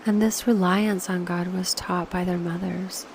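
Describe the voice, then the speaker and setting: A woman leading a slow guided meditation, speaking softly and unhurriedly in short phrases with pauses, often posing reflective questions. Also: lowish voice, steady tone, vocal fry, a little hiss or hum.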